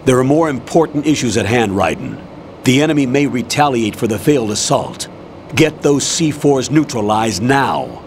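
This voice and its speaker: Stern colonel giving orders over the radio, faint noise behind. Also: male voice, mid-range voice, very animated, moderate pace. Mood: firm. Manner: firm, commanding. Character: male military colonel, authoritative commander. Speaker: commanding officer. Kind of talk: radio call in a game cutscene.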